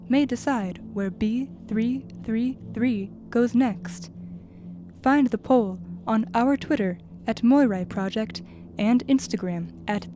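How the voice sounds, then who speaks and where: A woman reading a scripted announcement in a formal, measured manner. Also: on the high side, warm tone.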